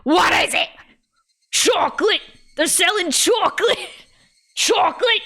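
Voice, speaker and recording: Ancient cartoon old lady, very high, screechy, shouting, half-deaf. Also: expressive, takes it slowly, heavily acted. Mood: shrill excitement.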